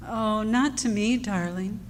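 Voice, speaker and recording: A woman's voice: mid-range voice, slow pace, close to an everyday voice. A woman playing a sweet, gushing younger sweetheart in an old-time radio drama, coy and cooing. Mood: coy, adoring. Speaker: actress in a live radio play. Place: club hall stage. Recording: noisy reverberant hall.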